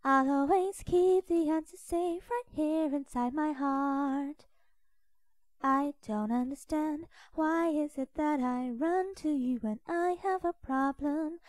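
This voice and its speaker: A woman sings a cappella in a very high voice, taking her time over each phrase. It is casual amateur singing from memory, unaccompanied, in a dry recording with very little room sound. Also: a little mellow, no echo. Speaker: amateur singer and fandubber.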